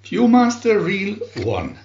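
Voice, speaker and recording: A man reads aloud in a high voice with swooping, dramatic intonation. He announces each phrase deliberately, like a storybook narrator for children. The recording is dull and lo-fi. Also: huge pitch swings, gravelly, darkish tone. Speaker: booklet reader and channel host. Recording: roomy echo, dull lo-fi sound.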